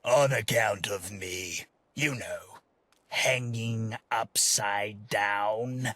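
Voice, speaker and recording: An actor playing a fussy, polite gentleman tax collector in a video game, with a wordy, formal and genteel manner. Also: fairly low pitch, very slow, dry recording, full character voice. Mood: dry, put-upon. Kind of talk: video game character dialogue.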